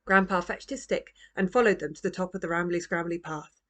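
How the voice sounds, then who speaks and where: A woman narrating a children's storybook as its author, in a gentle, expressive manner. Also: lowish voice, a little fast. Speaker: author reading own book.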